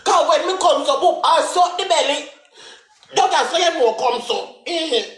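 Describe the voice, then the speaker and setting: A young man with a Jamaican accent chatting and telling stories in an excitable, exclamatory way on a livestream. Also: very high voice, normal speed. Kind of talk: casual comic storytelling on a livestream. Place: indoors in a small bare-walled room. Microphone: phone close in front, split-screen live call. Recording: echoey bare room.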